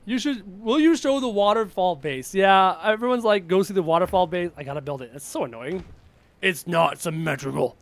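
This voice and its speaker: A young Canadian man streams a game live and chats with viewers, his voice on the high side for a man. He talks loosely, reads out questions, and breaks into frustrated outbursts at the game. A slight sickly cough hangs over his voice. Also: animated, moderate pace, dry recording. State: sick with a cough. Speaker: gaming streamer. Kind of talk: casual live-stream chat while playing.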